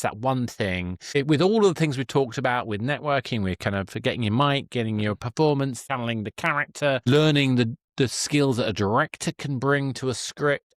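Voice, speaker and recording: A man's voice: mid-range voice, no room sound. Enthusiastic male podcast host, dry sound. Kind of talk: conversational podcast hosting.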